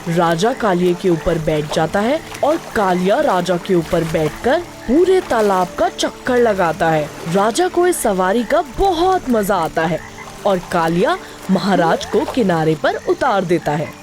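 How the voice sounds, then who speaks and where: A woman's voice: medium pitch, sing-song, unhurried. A woman narrator reading a scripted moral story for children. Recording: music behind the narration.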